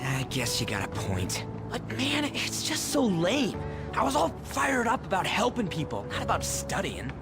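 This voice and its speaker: A man's voice: high voice, huge pitch swings, normal speed, over music, heavily acted. A man playing a hot-headed, slangy teenage boy in an English video game dub, blunt and grumbling.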